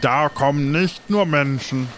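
Male actor as chatty seafaring postman, slow, hesitant, colloquial, improvised adventure play, faint noise behind. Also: on the high side, a bit bright. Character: chatty male postman sailing passengers to a pirate island.

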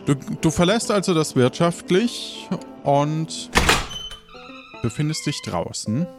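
A man narrating an improvised pirate adventure as game master, pausing as he invents the scenes. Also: on the high side, very animated, loud music behind the voice.

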